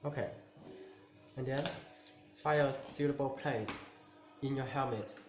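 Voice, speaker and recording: A man narrating a step-by-step tutorial in short, simple, halting instructions. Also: mid-range voice. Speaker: tutorial presenter. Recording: echoey room, clear noise, clicks.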